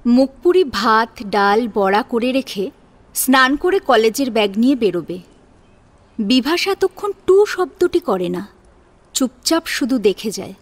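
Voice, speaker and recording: A woman's voice: a little high, big pitch swings, unhurried. A woman reading a scripted story as a dramatic narrator. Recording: music behind the voice.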